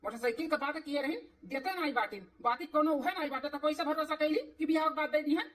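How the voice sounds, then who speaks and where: Woman doing a greedy groom's dad in a cartoon, awadhi accent, high voice, snooty scolding, bit far from mic. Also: quick pace, fairly bright tone. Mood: haughty, angry. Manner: haughty, scolding. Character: stern, greedy father of the groom demanding dowry. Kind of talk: cartoon dialogue in village comedy.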